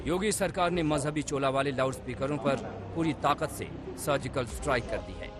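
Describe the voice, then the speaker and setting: A man reading a dramatic scripted news report in an emphatic, punchy manner. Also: mid-range voice.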